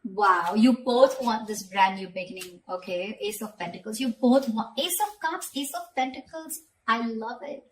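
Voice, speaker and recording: A woman's voice: on the high side, normal speed, hardly any pauses, a little hiss or hum, poor audio. A woman giving a conversational tarot reading straight to the viewer, often repeating phrases and checking in with short tag questions. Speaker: tarot reader.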